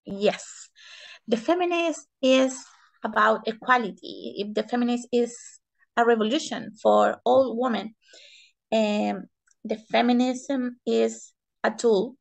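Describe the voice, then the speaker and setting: A woman from Chile with a Chilean Spanish accent, giving interview answers in halting non-native English and searching for words. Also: fairly high pitch, vocal fry. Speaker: interview guest, economist and feminist.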